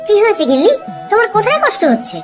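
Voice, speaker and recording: Squeaky, glib cartoon court wit. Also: male voice, sing-song, rapid-fire. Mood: concerned, placating. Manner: glib, mock-polite, coaxing. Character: clever, teasing court wit, henpecked husband. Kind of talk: animated cartoon dialogue. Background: children's cartoon music.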